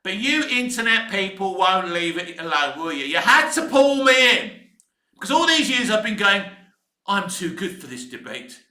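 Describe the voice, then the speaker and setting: Really high sharp voiced british guy in his 50s going off on an exasperated film rant, echoey room. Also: sing-song, normal speed. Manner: emphatic, exasperated, swearing mildly, repetitive. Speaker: film vlogger. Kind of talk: opinionated rant to camera, casual.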